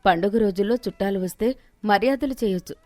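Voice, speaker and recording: A woman's voice: mid-range voice, fast, darkish tone, dry recording. Woman storyteller, dry studio-like sound. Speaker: story narrator. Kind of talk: scripted moral-story narration.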